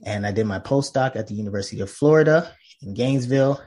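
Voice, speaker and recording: A man's voice: mid-range voice, fast, a little mellow, slightly rough. A man from Nigeria, a professor guest in a conversational interview, explaining earnestly with many fillers and restarts.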